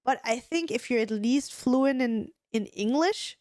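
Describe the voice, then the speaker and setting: A woman's voice: a little high, unhurried, darkish tone, no room sound, clean studio sound. A woman with an Austrian accent, a native German speaker, co-hosting a podcast in casual, rambling chat full of fillers and restarts. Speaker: podcast co-host.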